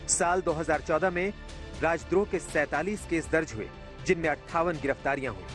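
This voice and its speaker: A man narrating a scripted television news report as a voice-over. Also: fairly high pitch. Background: prominent background music.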